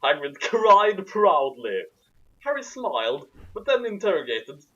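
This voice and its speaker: A man's voice: high voice, very animated, measured pace, pushed and bright, dull audio. A man doing a mocking dramatic reading with sarcastic, casual commentary and a theatrical manner. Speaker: reader and commentator.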